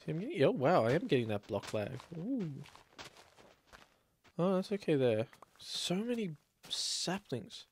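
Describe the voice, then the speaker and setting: A man's voice: fairly high pitch, very animated, unhurried, slight background noise. Chatty man, slightly stuffy. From Australia. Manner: chatty, rambling, talks to himself and the game. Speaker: let's play host. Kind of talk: casual gameplay commentary.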